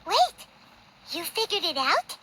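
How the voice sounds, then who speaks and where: Little eager sidekick: extremely high, squeaky, swooping childlike voice, unhurried, clear noise behind. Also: female voice, sing-song, pushed and bright, exaggerated voice. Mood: eager, surprised. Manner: exclamatory, eager questioning. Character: tiny excitable childlike floating companion, eager and curious. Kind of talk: scripted video game dialogue.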